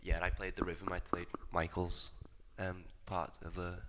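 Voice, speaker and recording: A young man, the band's drummer, talking hesitantly and quietly. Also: low-pitched, completely flat delivery, a little slow, slight background noise. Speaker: band drummer.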